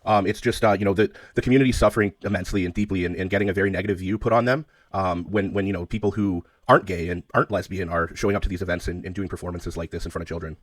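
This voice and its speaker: A man making a phone call, speaking in a halting, hesitant way, polite but persistent. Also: deep voice, steady tone, no echo. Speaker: caller, self-described media interviewer. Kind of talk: phone conversation.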